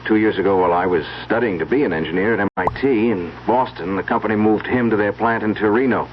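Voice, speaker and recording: An actor playing a polite young engineer and new husband, courteous in manner, in a radio drama. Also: male voice, on the low side, fast, natural voice. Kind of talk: 1970s radio drama acting. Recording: dull lo-fi old radio broadcast, faint noise.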